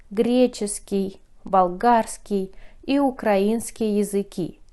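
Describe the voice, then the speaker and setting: A woman from Russia teaching a language lesson, speaking carefully and repeating phrases for learners. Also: on the high side. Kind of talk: slow, clearly enunciated language lesson.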